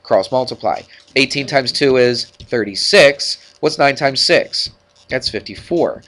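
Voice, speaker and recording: A man's voice: medium pitch, measured pace, bright, forceful tone. A man with a stuffed-up nose teaching math to a class, talking informally and checking in with frequent questions. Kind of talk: classroom worked-problem lesson.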